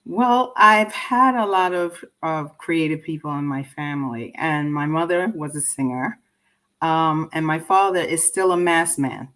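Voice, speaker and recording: A woman's voice: low-pitched, a little slow, a bit bright. Reflective woman in conversation. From Trinidad and Tobago. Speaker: interview guest, poet and filmmaker. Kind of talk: conversational interview answers.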